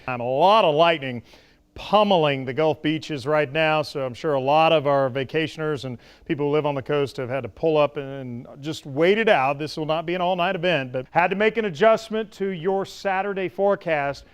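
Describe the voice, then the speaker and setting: A man's voice: on the high side, a little fast, smooth. A man giving a broadcast weather forecast as a TV meteorologist, explaining with folksy analogies.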